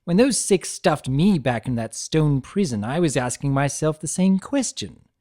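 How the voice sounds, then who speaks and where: A man voicing a mischievous chaos spirit in a fantasy story, energetic, coy and theatrical, with a teasing way of talking. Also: on the high side, normal speed, close to an everyday voice. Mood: playful, coy.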